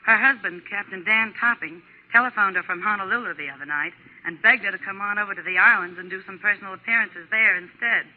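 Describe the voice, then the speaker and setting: An American woman playing a brisk, chatty Hollywood gossip columnist in a scripted 1940s radio segment. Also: medium pitch, phone-quality sound, natural voice. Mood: chatty, knowing. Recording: band-limited 1940s radio recording.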